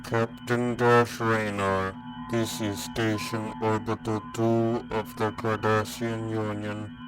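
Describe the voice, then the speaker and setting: A man's voice: on the low side, slow, loud music behind the voice. A man playing a formal, impassive alien space station controller giving official word in a sci-fi radio drama. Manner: flat, official.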